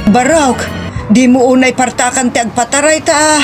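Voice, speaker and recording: A woman's voice: sits high, steady tone, normal speed, natural voice. A woman acting in an Ilocano audio drama, playing emotional, sorrowful family women with an Ilocano accent. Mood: tender, heavy-hearted. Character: sorrowful bride and her anxious, doting mother. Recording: music bed under the voice.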